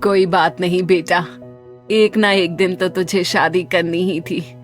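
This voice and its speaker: A woman playing a calm, mysteriously composed older mother in a dramatised audio story. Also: on the low side, slow, soft background music.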